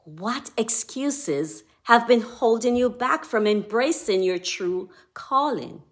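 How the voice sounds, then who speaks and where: A woman with a Jamaican accent, a devotional author giving a scripted devotional talk in a measured, deliberate way. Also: a little high, fairly bright tone.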